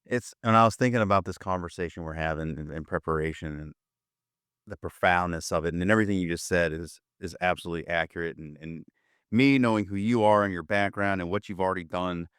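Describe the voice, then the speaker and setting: A man's voice: deep voice, steady tone, fast, a bit bright, smooth, dry recording, studio quality. A man hosting an interview, talking casually and thoughtfully with searching restarts. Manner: thoughtful, searching, with repeated starts. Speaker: podcast host, interviewer.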